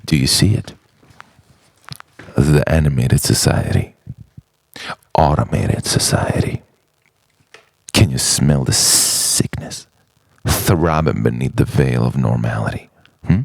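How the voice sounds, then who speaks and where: A man narrating an introspective spoken-word monologue in halting, musing phrases full of rhetorical questions. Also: very deep voice, creaky, no echo, poor audio. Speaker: narrator of a personal art film.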